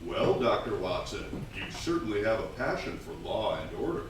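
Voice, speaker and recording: A genial old-time radio announcer, played by a man hosting a staged radio play. His voice is fairly low and animated in pitch. It sounds distant, in a very reverberant space. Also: normal speed, background noise. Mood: genial, presenting. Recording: very echoey stage, distant mic.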